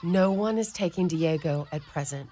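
A woman's voice: lowish voice, moderate pace, close to an everyday voice. A woman playing a kindly, wry and firm older Mother Superior in a community radio play. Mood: calm, caring. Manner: gently commanding, dryly teasing. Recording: noisy radio studio recording.

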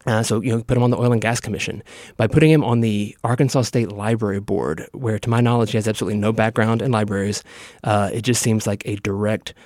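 An American man speaks quickly in a fairly low, even voice. He is a politician answering interview questions with his opinions, and the sound is dry. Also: no echo. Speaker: state senator being interviewed.

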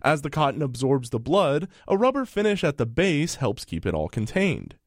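A man's voice: a little high, sing-song, moderate pace, dry recording. A man reading a scripted radio news report into a studio microphone.

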